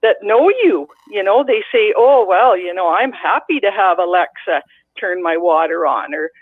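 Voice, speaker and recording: A woman's voice: mid-range voice, expressive, normal speed, no echo. A middle-aged woman from Canada calling in, telling a long, blunt, rambling story with many restarts. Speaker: caller. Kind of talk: casual call-in storytelling. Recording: dry tinny phone line.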